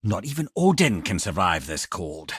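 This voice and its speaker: A man's voice: deep voice, sing-song, moderate pace, slight background noise. A man playing a witty, learned old talking head in a video game, an eloquent storyteller and loyal companion with a Scottish accent. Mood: exasperated. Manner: wry, eloquent, colloquial storyteller. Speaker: companion and lore-teller.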